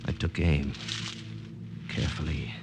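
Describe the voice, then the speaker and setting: An actor playing a wary, hard-boiled American army captain in a 1950 radio drama, colloquial, with an American accent. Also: male voice, a bass voice, little pitch movement, unhurried. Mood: tense, suspenseful. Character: plain-spoken, wary American army captain on a secret mission. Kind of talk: 1950 radio drama acting with first-person narration. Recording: noisy old 1950s radio broadcast.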